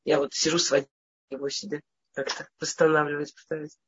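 A woman's voice: low-pitched, level tone, normal speed, slightly rough, muffled recording. A woman with a cold giving an informal religious lesson, often stammering and restarting as she talks. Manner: hesitant, with frequent stammers and restarts. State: has a cold. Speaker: teacher.

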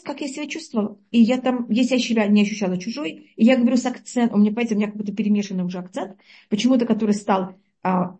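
A woman from Uzbekistan teaching a religious lesson, explaining slowly, searching for words and asking her listeners questions. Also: mid-range voice, dark tone.

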